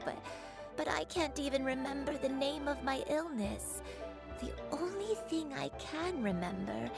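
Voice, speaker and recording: A woman voicing a frail, gentle, forgetful old grandmother in a video game, with a halting, tender and apologetic way of talking. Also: high-pitched, exaggerated voice. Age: older. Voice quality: creaky, husky, frail.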